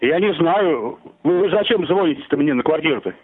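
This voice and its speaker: Brief: agitated man with a lively voice, tinny phone line. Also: a little high, brisk. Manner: annoyed, confused. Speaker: prank victim.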